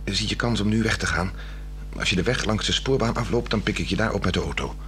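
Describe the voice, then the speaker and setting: A man's voice: on the low side, quick pace, fairly bright tone, lightly acted. A man acting an earnest, stubborn married man in a 1960s radio drama, plain and insistent in delivery. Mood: intent. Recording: noisy old radio recording with hum.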